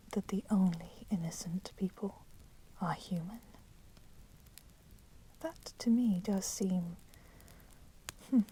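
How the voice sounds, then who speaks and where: A female vampire captor, acted by a woman, whispers slowly and deliberately in a soft, dark-toned voice. She is coolly menacing and possessive, with formal phrasing. It is an intimate ASMR roleplay, with a fire crackling steadily behind her. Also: medium pitch, close to an everyday voice. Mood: cold, scornful. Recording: steady background noise, crackling fire sound.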